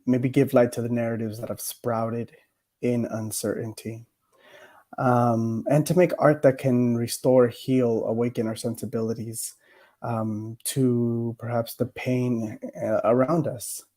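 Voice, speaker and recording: Soft-toned man, hesitant and reflective. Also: mid-range voice. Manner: hesitant, many fillers and restarts. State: recovering from a cough, voice a bit worn. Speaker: poet laureate giving a lecture. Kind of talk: reflective mini lecture on poetry.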